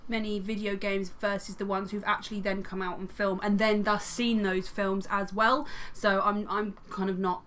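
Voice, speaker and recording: A woman's voice: mid-range voice, level tone, moderate pace, hardly any pauses, a bit bright, a little hiss or hum. A woman chatting casually as a podcast panellist, in a jokey, self-deprecating and sweary way. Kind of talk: casual chat.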